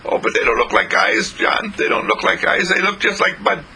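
A curious, bossy six-year-old girl in a storybook telling, squeaky and very high, as a man puts her on. She fusses and scolds. Her voice is bright and sharp. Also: sing-song, normal speed, gravelly, a little hiss or hum, heavily acted. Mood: nosy.

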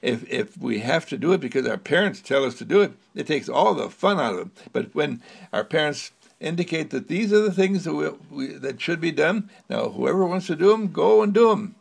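A man's voice: on the high side, expressive, fairly quick, gravelly, breathy edge, voice pushed up, exaggerated voice. A man voicing a boastful eldest boy in a sing-song, bragging manner.

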